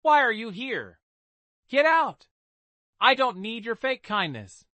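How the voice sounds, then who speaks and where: A man reading a story and voicing a petulant, scheming young noble girl who shouts angrily and then turns falsely sweet. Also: very animated, measured pace, dry recording, heavily acted. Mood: angry. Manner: shrill angry outbursts.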